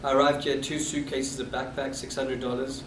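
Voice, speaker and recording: A man in his thirties with a South African accent, recalling his past with halting restarts in an oral history interview. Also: mid-range voice, level tone. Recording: echoey room, distant mic, noisy.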